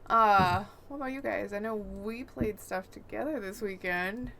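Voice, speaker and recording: A woman's voice: mid-range voice, normal speed, a bit bright. A woman talking casually and conversationally as a podcast co-host. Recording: clear background noise.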